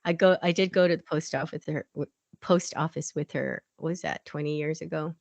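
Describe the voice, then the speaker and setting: A woman's voice: low voice, steady tone, a little fast, creaky, darkish tone, dry recording, highs cut off. A woman co-hosting a podcast in casual chat, rambling and laughing often as she tells stories. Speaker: podcast co-host.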